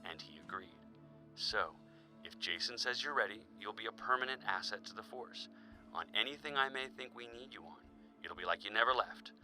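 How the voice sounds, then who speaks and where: An actor playing a firm, middle-aged police chief in an audio drama, speaking with deliberate authority. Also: male voice, on the low side, bright, forceful tone, low-quality recording. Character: firm male police chief, businesslike superior.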